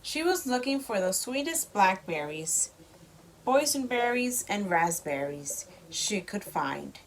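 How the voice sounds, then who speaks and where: Expressive woman slowly reading a storybook aloud. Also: medium pitch. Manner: slow, expressive child-directed delivery. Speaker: mother reading a story to her child. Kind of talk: storybook read-aloud with simple explanations for a child.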